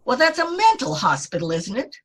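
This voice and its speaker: A woman's voice: fairly high pitch, huge pitch swings, brisk, a bit bright. A woman acting a composed, polite older mother-in-law in an old-time radio drama. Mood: concerned, cool.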